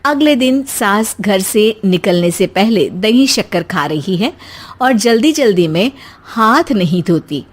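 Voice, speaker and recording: A female storyteller reads a scripted comic moral tale. She takes her time, with slow, measured narration throughout. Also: medium pitch, lively intonation.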